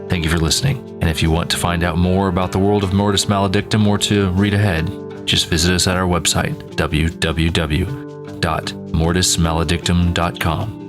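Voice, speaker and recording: A man reading a show's outro announcement in a friendly, conversational way. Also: low-pitched. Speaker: show producer giving outro.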